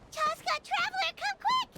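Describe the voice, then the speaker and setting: A woman voicing a tiny, childlike, excitable companion creature in a video game, squeaky and exclamatory. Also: slow pace, background noise. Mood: urgent, excited. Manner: exclamatory, eager.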